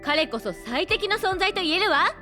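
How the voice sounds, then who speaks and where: Female scientist, audio drama: teasing, familiar, high-pitched, very animated delivery. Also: normal speed, faint music behind, heavily acted. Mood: explanatory. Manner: playful, familiar, emphatic speech. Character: perky, teasing adult female scientist friend.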